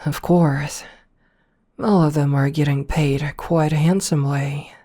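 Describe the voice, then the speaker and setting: A woman playing a possessive, domineering rich CEO in an ASMR roleplay, speaking softly and coaxingly with condescending sweetness, slipping into whispers. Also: low voice, fairly even intonation. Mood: smug, proud. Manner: slow, soft, breathy coaxing, slipping into whispers.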